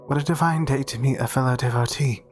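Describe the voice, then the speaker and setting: A man's voice: medium pitch, fast, soft background music, natural voice. A man playing a meek, devout and easily flustered cathedral priest in a roleplay audio drama, speaking formally and hesitantly. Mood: flustered, reverent.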